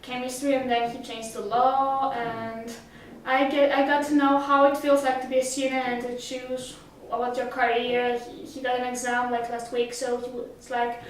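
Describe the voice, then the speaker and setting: High-voiced teenage girl, echoey room. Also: flat delivery, normal speed, hardly any pauses, a little hiss or hum. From Greece. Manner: hesitant, many fillers and restarts. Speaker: summer school student. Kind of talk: casual interview answers. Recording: roomy echo, mic at a distance.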